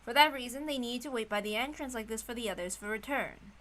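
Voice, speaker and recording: Bright, high-pitched woman reading a story. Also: fairly quick. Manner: informal, self-interrupting, apologetic. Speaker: amateur story reader. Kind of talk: read-aloud narration with casual asides.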